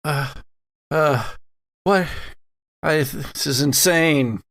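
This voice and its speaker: A man playing a weary, injured, reluctant survivor in a tabletop horror game, halting and stammering. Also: on the high side, a bit bright, heavily acted. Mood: bewildered.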